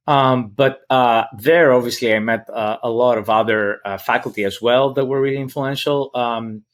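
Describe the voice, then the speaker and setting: A man from Greece with a Greek accent answers interview questions as a professor, thoughtful and halting as he searches for words. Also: medium pitch. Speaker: interview guest, political science professor.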